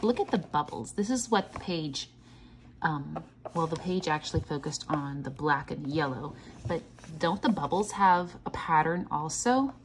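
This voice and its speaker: A woman's voice: low voice, expressive, a little slow, a little hiss or hum, rough recording. A woman teacher leading a children's read-along lesson, encouraging, asking questions and chanting patterns aloud. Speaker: teacher reading a picture book to children. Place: indoors at a table.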